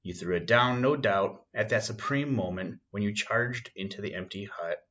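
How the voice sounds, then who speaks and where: A man reads aloud as a keen male detective from a classic storybook. The voice is fairly low with a forceful edge. He phrases things formally and precisely, with dry irony, on a slightly dull recording. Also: normal speed, natural voice. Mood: wry. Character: keen, dryly ironic male English detective.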